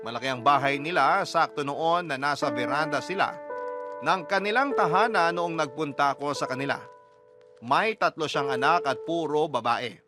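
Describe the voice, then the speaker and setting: A man's voice: a little high, normal speed, over music, close to an everyday voice. An actor narrating as the wistful, self-pitying child of a poor mother in a confessional radio drama. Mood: envious, wistful.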